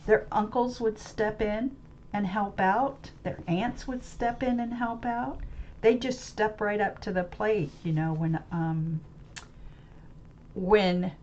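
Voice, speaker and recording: Slow, rambling American woman, lo-fi. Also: mid-range voice, darkish tone, slight background noise. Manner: rambling, searches for words, many restarts and fillers. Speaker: webcam channel host. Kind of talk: unscripted musing monologue about a book. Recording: slightly dull lo-fi audio with faint ambience.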